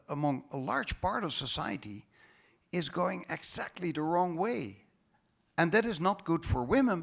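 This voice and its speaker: A man's voice: a little high, vocal fry. Dutch-accented man, sarcastic panel remarks. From Netherlands. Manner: sarcastic, emphatic, with ironic mock quotes. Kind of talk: conference panel discussion. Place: conference hall stage.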